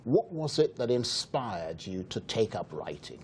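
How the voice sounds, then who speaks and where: A man hosting a broadcast news interview program, asking measured questions. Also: lowish voice, very animated, darkish tone, slight background noise. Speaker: TV interview host.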